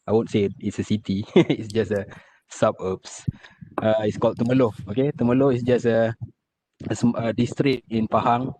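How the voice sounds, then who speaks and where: A Malaysian man giving a measured online presentation from slides, checking in with his audience often. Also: fairly low pitch, background noise. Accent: Malaysian English. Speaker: lecturer. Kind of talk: virtual presentation.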